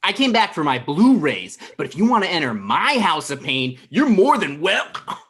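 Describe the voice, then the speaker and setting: A man's voice: fairly high pitch, big pitch swings, normal speed, fairly bright tone, husky, heavily acted. A man in his thirties playing a needy, wounded, blustering dumped boyfriend in a table read over a video call. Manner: pleading, blustering. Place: indoor room on a video call.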